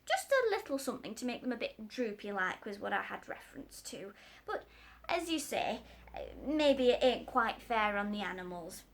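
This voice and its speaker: A woman's voice: a little high, big pitch swings, normal speed. Woman doing a rambling folksy tradesman. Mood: eager, wheedling. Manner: rambling, folksy, stumbles over words. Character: chatty working-class man, cat's meat seller.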